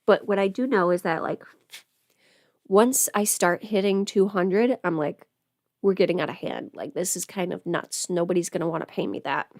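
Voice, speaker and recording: A woman's voice: on the low side, normal speed, a little mellow, no echo. Chatty young woman, close dry sound. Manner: rambling, self-interrupting, informal, chatting to viewers. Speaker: livestreamer and audio performer. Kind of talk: casual livestream chat while putting on makeup.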